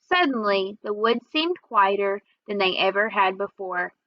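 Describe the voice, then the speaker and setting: A woman's voice: medium pitch, expressive, measured pace, no room sound. A woman reading a children's book aloud as narrator, with a slightly muffled sound. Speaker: read-aloud reader.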